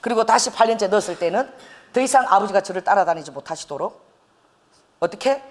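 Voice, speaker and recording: Woman pastor, expressive preacher with a swinging, lively pitch and a storyteller's laugh. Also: fast talker. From Busan, South Korea. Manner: animated storytelling, laughing often. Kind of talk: sermon.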